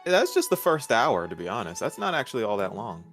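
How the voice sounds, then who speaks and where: A man in a casual chat about a game's story, speaking in a measured, slightly hesitant way as he thinks aloud. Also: mid-range voice, big pitch swings, fairly bright tone, faint music behind. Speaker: video co-host.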